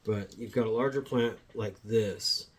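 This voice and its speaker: A man's voice: on the low side, a little slow, slightly rough. A man with a slightly sniffly voice, a plant shop owner chatting casually to viewers, wandering and correcting himself as he goes. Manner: rambling, self-correcting, friendly address to viewers. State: sniffly from allergies. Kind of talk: casual how-to vlog. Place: indoors at home among plants. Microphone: phone camera mic.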